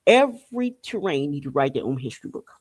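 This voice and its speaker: A woman's voice: low voice, big pitch swings, quick pace, darkish tone, dry recording. A woman from Haiti giving an informal podcast monologue in an emphatic, repetitive manner, punctuated with rhetorical questions. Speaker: podcast host. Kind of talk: spiritual and political monologue, informal talk.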